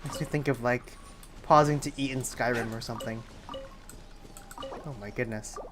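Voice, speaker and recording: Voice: medium pitch, fairly even intonation, moderate pace. A game streamer giving casual live commentary, rambling and often breaking off mid-thought while thinking aloud. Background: game music.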